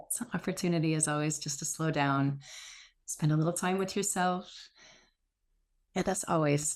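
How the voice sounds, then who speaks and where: Low, creaky-voiced woman guiding meditation. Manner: slow, gentle, soothing delivery with pauses. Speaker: meditation teacher. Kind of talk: guided meditation.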